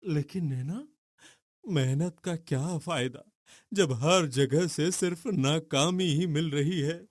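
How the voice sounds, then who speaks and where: A man's voice: on the high side, slow pace, dry recording, clean studio sound. An actor playing a dejected, earnest poor young man in a cartoon story, downcast and hesitant. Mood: despairing.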